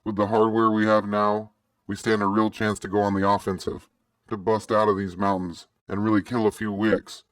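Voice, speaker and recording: A grim rebel chief with a grating, harsh edge, as a man acts him out in an audiobook. He talks low and clipped, laying out orders like commands. The sound is dry and close. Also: little pitch movement, moderate pace, no room sound, close to an everyday voice. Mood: determined.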